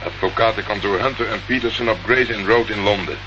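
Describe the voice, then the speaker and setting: A low-pitched, sardonic private investigator acted by a man in a radio play. He answers in a deadpan, unruffled way. The old recording is dull, with clear hiss and noise behind him. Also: fast, lightly acted. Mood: guarded. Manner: sarcastic, deadpan. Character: dry, sardonic male private investigator, unruffled under questioning. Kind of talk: Dutch radio play acting.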